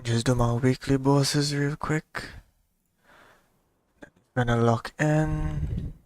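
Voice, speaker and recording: A man's voice: medium pitch, steady tone, extremely slow, darkish tone, no room sound. A man giving casual, laid-back gameplay commentary, talking half to himself as he plays. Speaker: gaming streamer.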